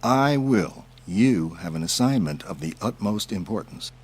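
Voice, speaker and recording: A playful, irreverent covert superior in an audio drama. His voice sits slightly low and has a husky rasp. He talks casually, teasing and enthusing as he hands out orders. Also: animated, unhurried. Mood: amused, casual.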